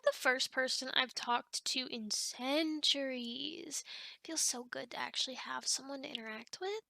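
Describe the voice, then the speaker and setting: Woman acting as teasing sleep demon, bright voice, very dry close sound, casual rambling, hesitant, ASMR roleplay. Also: high-pitched, creaky, no echo, heavily acted. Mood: guarded, confiding. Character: chatty, teasing female sleep paralysis demon, formerly human. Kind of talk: ASMR roleplay, one-sided conversation.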